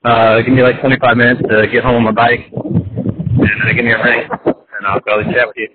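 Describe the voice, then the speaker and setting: A man's voice: mid-range voice, flat delivery, a little fast. Rambling man on a noisy phone line. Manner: laid-back, rambling. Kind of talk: casual chat on a voicemail. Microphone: telephone.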